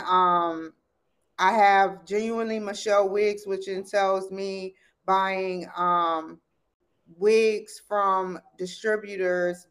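A woman in her thirties, a podcast guest, talking in a rambling, enthusiastic way and laughing often. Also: medium pitch. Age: 30s. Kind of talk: casual chat.

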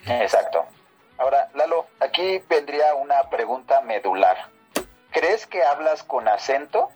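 A man's voice: medium pitch, big pitch swings, unhurried, a little mellow, highs cut off, faint music behind. A man hosting a podcast, asking questions casually and joking and laughing often. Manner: laughs often, jokey interviewer. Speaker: podcast host. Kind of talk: casual chat.

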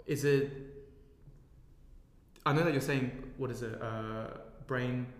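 A man's voice: medium pitch, steady tone, slow. A man in casual chat, rambling and searching for words with many fillers. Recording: echoey room with long reverb.

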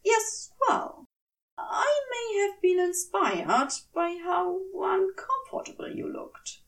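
A woman voicing a fussy, flustered male angel, prim, polite and hesitant, with breathy flutters. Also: very high voice, very animated, takes it slowly, a bit bright, recorded from a distance, voice pushed up, exaggerated voice. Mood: flustered, shy.

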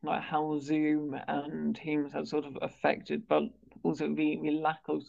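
A man with a stammer who speaks haltingly, repeating words and sounds as he hosts a conversational interview. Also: fairly high pitch, monotone, vocal fry, a little mellow. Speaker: interviewer and stammering awareness campaigner. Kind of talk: conversational interview on a video call. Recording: dull, lo-fi video call audio.

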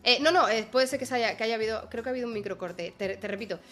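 A woman's voice: fairly high pitch, quick pace, faint music behind. Chatty woman host. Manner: chatty, lively storyteller. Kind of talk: casual chat, storytelling. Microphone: video call.

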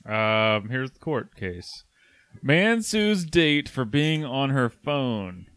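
Man reading out a case, lively. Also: mid-range voice, very slow, smooth, dry recording. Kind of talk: reading a news story aloud, casual podcast.

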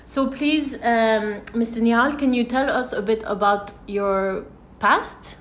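A woman's voice: on the high side, takes it slowly, a bit bright, slight background noise. A woman hosting a podcast interview, talking hesitantly with many fillers and restarts. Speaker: podcast host. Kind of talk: interview conversation. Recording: echoey room.

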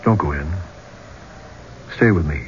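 A man's voice: rumbling low, animated, measured pace. An actor playing a brash, insistent younger man in a 1970s radio drama, talking in a blunt, persuasive way. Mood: low, coaxing. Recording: muffled, noisy old radio recording.

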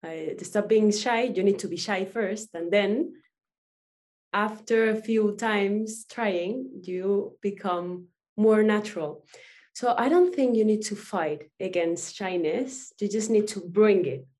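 A woman's voice: mid-range voice, slow, darkish tone. A woman from Spain with a Spanish accent, a coach speaking English as a second language, giving frank, encouraging advice with small restarts. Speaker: coach for actors, guest. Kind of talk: casual interview chat.

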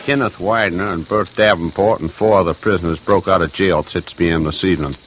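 Deep-voiced man reading official bulletins. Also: fairly even intonation, a little fast, a bit bright, a little hiss or hum, poor audio. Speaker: bulletin reader. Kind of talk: official scripted radio bulletin.

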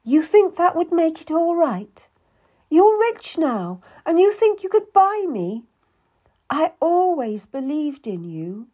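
A woman's voice: lowish voice, expressive, moderate pace, few pauses, warm tone, slightly rough. A woman voicing a young lady-in-waiting who speaks in a raised, pained and reproachful voice. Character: young female lady-in-waiting, hurt and angry.

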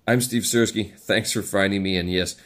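A man's voice: lowish voice, fairly even intonation, normal speed, fairly bright tone. A man with a stuffy nose from a head cold, rambling in a casual vlog with many fillers and restarts. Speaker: vlogger. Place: living in China.

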